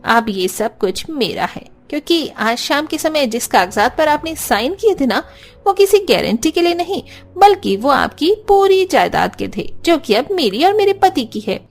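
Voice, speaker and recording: Woman voicing a haughty scheming daughter, high and animated. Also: moderate pace. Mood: smug, defiant. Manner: haughty, commanding. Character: scheming, arrogant young married daughter evicting her family.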